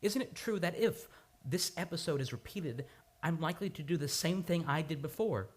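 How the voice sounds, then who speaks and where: Woman acting a husky, low-voiced tormented man. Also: animated, normal speed. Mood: fearful, reluctant. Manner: stammering, repeating words, overwrought. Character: tormented amnesiac man, guilt-ridden, fearful, desperate. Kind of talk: old time radio drama acting.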